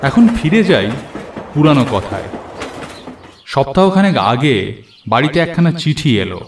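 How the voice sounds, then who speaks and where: A man narrating a first-person cartoon story in an expressive, dramatised storytelling way. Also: a little high, measured pace. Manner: expressive storytelling with acted dialogue. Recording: echoey, with noisy train and storm ambience.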